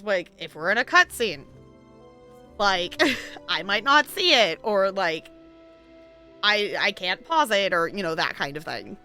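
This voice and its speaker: A woman's voice: medium pitch, sharp tone. A woman game streamer chatting casually with her viewers, rambling a little and laughing easily. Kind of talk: casual live-stream chat while gaming.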